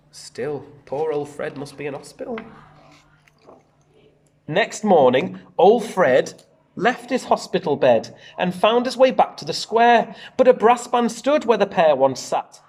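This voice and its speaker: Animated man reading a children's story. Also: fairly high pitch. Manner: animated, sing-song rhyming read-aloud. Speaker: primary school teacher reading a storybook. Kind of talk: expressive children's storytelling with chatty asides.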